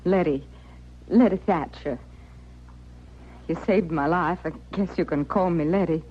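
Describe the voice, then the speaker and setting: An actress with an American accent playing a worn, stubborn young widow on the prairie in plain rustic speech for a radio western. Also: female voice, lowish voice, a little slow, breathy edge. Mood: weary. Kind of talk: old-time radio western acting. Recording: muffled old radio recording.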